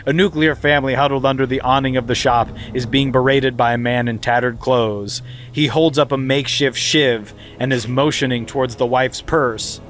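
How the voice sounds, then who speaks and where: A man's voice: medium pitch, fairly even intonation, moderate pace, few pauses, a bit bright, slight background noise. A man hosting a podcast, reading a screenplay aloud in a mock-dramatic comedic way. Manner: mock-dramatic, breaking into laughter. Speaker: podcast host.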